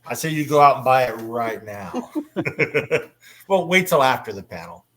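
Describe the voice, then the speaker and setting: A man's voice: mid-range voice, lively intonation, measured pace, fairly bright tone. Enthusiastic man hosting a fan panel. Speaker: convention panel host. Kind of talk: casual panel discussion about audio dramas.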